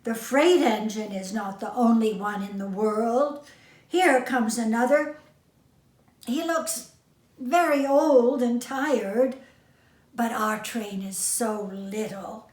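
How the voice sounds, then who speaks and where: A woman plays a small storybook toy clown, eager and hopeful. The voice calls out high and loud in an echoey room. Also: slow pace. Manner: excited calling out. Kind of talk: children's storybook character voice.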